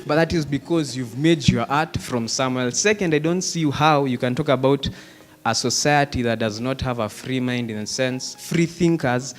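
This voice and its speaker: A man's voice: medium pitch, moderate pace, faint music behind. A young man from Kenya giving an impassioned, argumentative comment from the audience at a panel discussion, slipping in Swahili phrases. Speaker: audience member asking a question. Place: theatre hall at a panel discussion.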